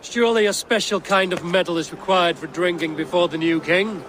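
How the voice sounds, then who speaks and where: Man playing a confident, higher-voiced Viking warrior. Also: fairly even intonation, a little fast, background noise, close to an everyday voice. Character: confident, dry-witted Viking warrior hero. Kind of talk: video game cutscene acting. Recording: music playing behind the voice.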